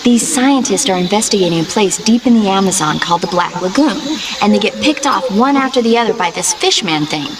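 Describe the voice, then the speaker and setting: A woman's voice: mid-range voice, animated, a little fast, background noise, natural voice. An actress playing a caring, teasing young woman paramedic who gives earnest advice over radio support in a video game. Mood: excited storytelling. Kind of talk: video game voice acting, scripted radio conversation.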